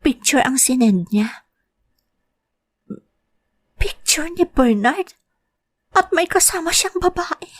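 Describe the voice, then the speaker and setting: Woman playing a high-voiced heartbroken young woman. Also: animated, slow, pauses often. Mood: hurt, tearful. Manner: tearful, pleading, emotional outbursts. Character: young woman working abroad, heartbroken by cheating partner. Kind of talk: Filipino audio drama acting. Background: soft music.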